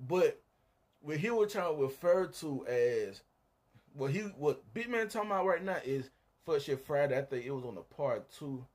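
A husky-voiced man with a slightly high pitch rambles through his account in casual live-stream talk. He hedges and circles back as he goes. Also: expressive, normal speed, darkish tone. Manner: rambling, recounting, hedging. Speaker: panelist in a live-stream chat. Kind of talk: casual chat.

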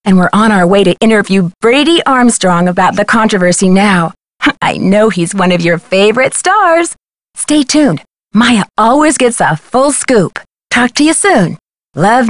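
A young woman playing an earnest, bold amateur sleuth in a video game, speaking clearly and inquisitively. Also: fairly high pitch, dry recording, clean studio sound, close to an everyday voice.

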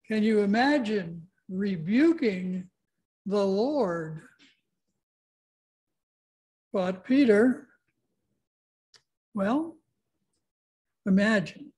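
A man's voice: sits high, lively intonation, drawn-out words, pauses often, a little mellow. A man in his sixties speaking as a pastor leading worship and scripture in a church sanctuary.

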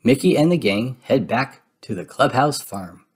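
A man reading a children's storybook aloud in expressive narration. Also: medium pitch, normal speed, slightly rough. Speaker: storybook reader.

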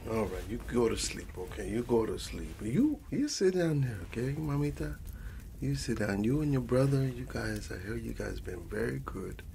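A man playing an affectionate old grandfather with a Latin American Spanish accent, softly telling a bedtime story in an ASMR roleplay, rambling and repeating endearments as he switches between Spanish and English. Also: medium pitch, big pitch swings, moderate pace, vocal fry, a little hiss or hum. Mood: doting, tender.

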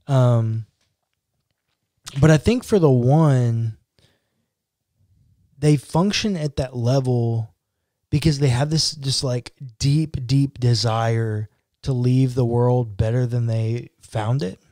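Young man, pastor, slow measured talk, warm mellow tone, earnest. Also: mid-range voice, no room sound, clean studio sound. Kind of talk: casual chat. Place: small home studio room. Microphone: boom-arm podcast mic.

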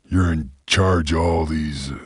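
An actor playing a gruff, laconic creature bounty hunter in a video game, with a gravelly voice and terse, folksy cowboy drawl. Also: male voice, extremely low pitch, a little slow, no echo, clean studio sound, exaggerated voice. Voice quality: gravelly, husky. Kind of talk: video game character voice lines.

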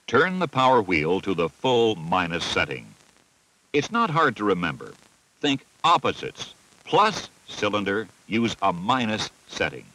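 A deep-voiced American man reads scripted instructional narration for a training film. His delivery is methodical and instructive, with a lively rise and fall that sets off each step. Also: unhurried, poor audio. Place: voice-over recording.

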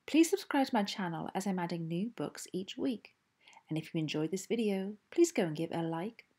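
A woman reading a children's storybook aloud in an expressive, deliberate way with emphatic phrasing. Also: fairly low pitch, slightly rough. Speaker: host and children's storybook reader.

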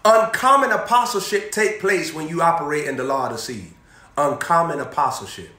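A young male preacher delivers an animated sermon, repeating key phrases and quizzing his congregation. His voice sits high and sharp. The sound carries the echo of a bare indoor room. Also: brisk. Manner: animated, repetitive preaching with call-and-response questions, moving around. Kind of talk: energetic sermon. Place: indoor room in front of a banner backdrop. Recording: echoey room.

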